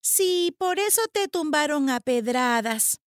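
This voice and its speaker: A woman's voice: sits high, animated, takes it slowly, dry recording, clean studio sound, voice pushed up. A woman voicing a kind, bold young girl in a storybook, earnest and caring toward animals. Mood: tender. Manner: tender, earnest, encouraging.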